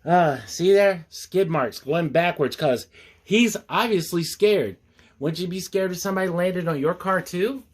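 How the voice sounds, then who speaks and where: A male storyteller with a fairly high-set voice reads at a very leisurely pace. He delivers rhymes expressively and drops in casual, folksy asides to his listeners. The manner is playful throughout. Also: a little slow. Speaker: storyteller reading a picture book aloud.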